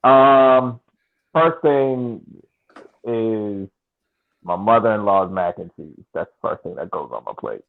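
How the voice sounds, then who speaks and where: A man chatting casually and haltingly as a podcast co-host over a remote internet call. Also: lowish voice, animated, creaky. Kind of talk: casual chat. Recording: muffled, thin phone-like internet connection.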